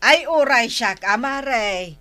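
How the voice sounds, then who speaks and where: A woman's voice: fairly high pitch, lively intonation, takes it slowly, over music. A woman with an Ilocano accent playing a jealous, nagging older wife who scolds indignantly in comic drama. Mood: gossipy, aggrieved. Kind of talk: broad comic drama acting.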